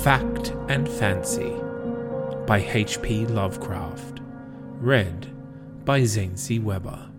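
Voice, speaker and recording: A man reciting poetry in a theatrical, deliberate manner. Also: on the low side. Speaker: poetry podcast reader. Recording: music playing behind the voice.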